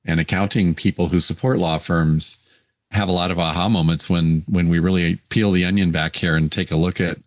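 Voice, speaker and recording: A very low-voiced man hosting a podcast in easygoing chat, with a slight rasp today. The sound is dry and close. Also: steady tone, a little fast, no echo. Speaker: podcast co-host.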